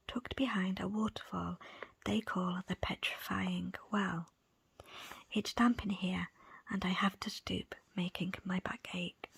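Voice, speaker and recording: A woman reads aloud in an ASMR style, entirely in a very breathy whisper. Her phrasing is soft, deliberate and slow. The sound is dry, with hardly any room to it. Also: on the low side, dry recording. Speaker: book presenter and reader. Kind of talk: ASMR whispered book reading.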